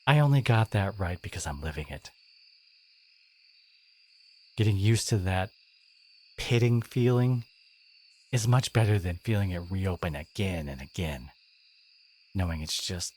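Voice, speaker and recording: Low-voiced, sardonic teenage school bully played by a man. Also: lively intonation, husky, exaggerated voice. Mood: weary, confiding. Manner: halting, soft-edged, sardonic, confiding. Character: gruff teenage school bully, secretly wounded and caring. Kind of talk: intimate audio roleplay monologue.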